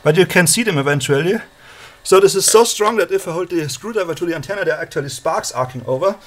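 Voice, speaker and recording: High-pitched man giving a hands-on demo. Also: animated, fast talker. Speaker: electronics hobbyist presenter. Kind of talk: casual hands-on demonstration. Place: small room at home. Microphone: handheld camera held by the speaker.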